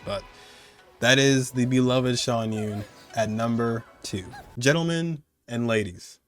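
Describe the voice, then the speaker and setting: A man hosting a gaming countdown video with casual, excited commentary in an informal, rambling and emphatic way. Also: medium pitch, slow pace, faint music behind.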